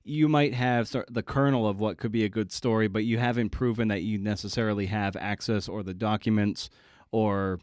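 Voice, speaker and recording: A man with a fairly low, smooth voice, recorded close and dry. He hosts a casual interview, working toward his point in wordy, halting phrases. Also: fairly even intonation, a little mellow, no room sound. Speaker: podcast host, interviewer.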